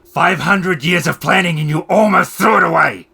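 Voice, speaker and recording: A man's voice: high voice, vocal fry, bright, forceful tone, husky, voice pushed up, heavily acted. A man voicing a menacing, mocking elf clown villain in a theatrical, taunting way for a tabletop game. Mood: enraged. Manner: theatrical, slow taunting delivery with sudden outbursts.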